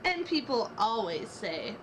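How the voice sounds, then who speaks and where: Young woman, high voice, rhythmic dramatic reading of rap lyrics, student project, noisy homemade recording. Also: very animated, measured pace. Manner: rhythmic, trading lines with a partner. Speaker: student reader.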